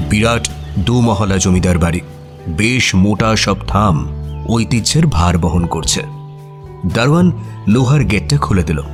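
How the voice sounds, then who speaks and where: An actor playing a detective's companion, narrating a mystery audio drama in expressive scripted storytelling. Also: male voice, on the low side. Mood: observant, engaged. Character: male detective's companion recounting the case as storyteller.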